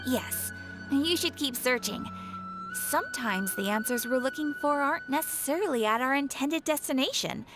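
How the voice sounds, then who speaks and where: A woman's voice: high voice, animated, normal speed, over music. A woman playing a cheerful, musing guild receptionist on break in a video game. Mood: musing, friendly.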